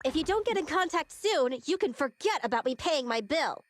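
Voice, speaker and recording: A woman playing a bossy, brash young gang leader in an animated game cutscene, speaking in a commanding, exasperated way. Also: sits high, normal speed, no room sound. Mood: scolding.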